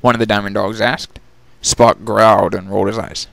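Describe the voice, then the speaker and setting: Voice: deep voice, steady tone, moderate pace, creaky, fairly bright tone, no room sound. A narrator giving a dramatic reading of a story, telling it in scripted prose.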